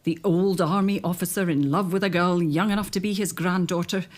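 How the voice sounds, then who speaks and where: An actress playing a genteel sister-in-law in a period audio drama, who talks down gently and coaxingly. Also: female voice, on the low side, fairly even intonation, brisk, natural voice. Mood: gossipy.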